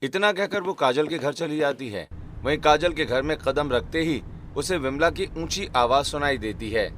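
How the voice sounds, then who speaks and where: A man giving scripted narration for an animated family story as its storyteller. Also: fairly high pitch, normal speed, slight background noise.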